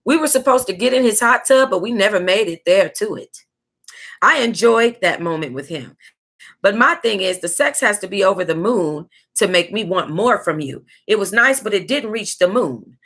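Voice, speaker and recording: A woman's voice: medium pitch, normal speed. An American woman hosting a book club, reading a tell-all aloud in a casual, sassy way with reactive asides. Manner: sassy, animated reactions, breaking into laughter and asides.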